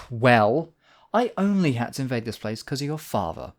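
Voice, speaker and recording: A man's voice: mid-range voice, very animated, moderate pace. A man playing a smug, obsessive demon king in a theatrical audio roleplay, silky and possessive in his wooing. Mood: irritated, threatening. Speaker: voice actor. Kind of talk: theatrical roleplay monologue, ASMR audio drama.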